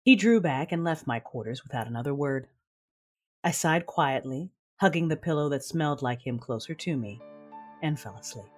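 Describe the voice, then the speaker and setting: A husky-voiced warrior woman tells her own story in an audio drama. She is proud and passionate yet guarded, speaking in breathy, measured, intimate phrases over a music bed. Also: rumbling low, animated. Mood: wistful. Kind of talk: intimate first-person audio drama narration. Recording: music under the voice.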